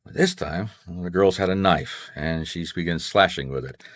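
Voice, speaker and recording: Low-voiced, wry private detective. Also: male voice, moderate pace, dry recording. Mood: explaining, animated. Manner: colloquial, hard-boiled storytelling. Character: wry, resourceful male private detective narrating his case. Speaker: lead actor and narrator. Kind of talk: noir radio drama acting and first-person narration.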